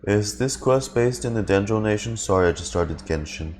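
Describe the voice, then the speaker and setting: A man's voice: fairly low pitch, lively intonation, normal speed, darkish tone. A man streaming a game with casual live commentary, musing and thinking aloud in a hesitant way.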